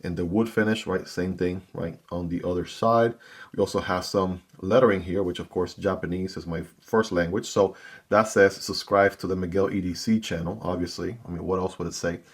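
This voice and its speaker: A man's voice: deep voice, steady tone, normal speed, breathy edge. A man hosting his own gear channel, chatting casually to viewers as he unboxes and reviews a knife. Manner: chatty, talks straight to viewers.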